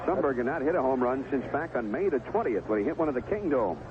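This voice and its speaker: A man commentates on a live baseball game with very lively pitch movement. The vintage radio recording is dull and lo-fi, with clear noise behind his voice. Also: fast. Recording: muffled, noisy 1970s radio broadcast.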